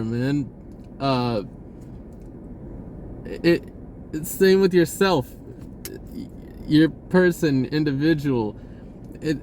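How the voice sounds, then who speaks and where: A man giving a rambling, informal spiritual monologue in a halting, searching way, often repeating words and slipping into laid-back slang. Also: a little high, a little mellow, slight background noise. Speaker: spiritual vlogger.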